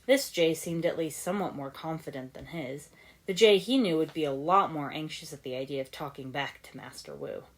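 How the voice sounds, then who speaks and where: A woman's voice: deep voice, brisk. A woman narrating a scripted story as an amateur audiobook reader.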